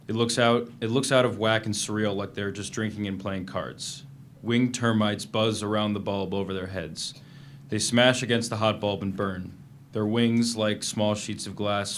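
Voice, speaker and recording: A man acting a guarded prisoner, low-voiced. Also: a little hiss or hum, close to an everyday voice. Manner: plain, guarded, terse storytelling in present tense. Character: guarded, defiant American male prisoner keeping a journal. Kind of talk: dramatic reading of novel narration and dialogue.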